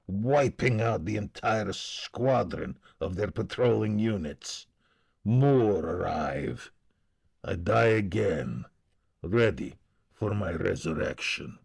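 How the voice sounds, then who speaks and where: A man playing a battle-weary warrior who is endlessly reborn, solemn, brooding and husky, in a game lore reading. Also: lowish voice, animated, slow pace, exaggerated voice. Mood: grim resolve. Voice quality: gravelly, husky.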